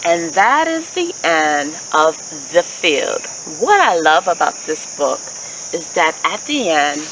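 A woman in her thirties reading a picture book expressively to children outdoors, addressing young listeners with questions in an animated, enunciated way. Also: lowish voice. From Haitian American. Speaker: children's story reader, host. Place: outdoors in a leafy park. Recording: steady outdoor ambient noise with insects. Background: insects, birds, outdoor ambience.

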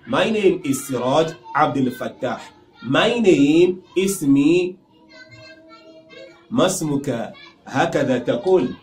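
Nigerian guy teaching a language lesson, kinda high voice, repeats stuff a lot, roomy echo. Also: takes it slowly, long pauses, soft background music. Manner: lively, repeats phrases, gestures and smiles. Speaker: Arabic teacher. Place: small indoor studio with whiteboard.

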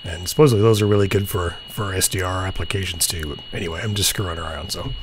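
A middle-aged man chatting casually and musing in an unhurried, low-key way as he hosts a hobby video. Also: fairly even intonation. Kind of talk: casual chat while demonstrating radio software. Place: small indoor home room. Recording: humming, clearly noisy home recording.

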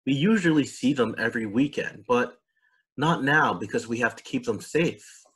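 A man's voice: medium pitch, animated, moderate pace, a bit bright, breathy edge. A man voicing an earnest, caring young child in a storybook, explaining things. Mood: earnest, tender.

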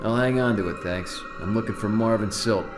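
A man playing a tough, wry hard-boiled ex-cop hero in a noir video game, terse and deadpan. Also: fairly low pitch, fairly quick, close to an everyday voice. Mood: dry brooding. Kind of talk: noir game narration and cutscene dialogue. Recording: music behind the voice.

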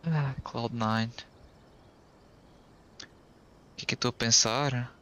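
A man's voice: medium pitch, fairly even intonation, takes it slowly, sharp tone. A young man with a Portuguese accent chatting casually as he plays through a game, reacting aloud in slang. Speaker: game streamer. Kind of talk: casual let's-play commentary.

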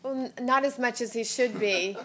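A woman's voice: fairly high pitch, normal speed, a bit bright, a little hiss or hum, natural voice. An actress playing a polite, easily embarrassed middle-aged mother on a theater stage. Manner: polite, flustered. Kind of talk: stage play acting. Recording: roomy stage sound.

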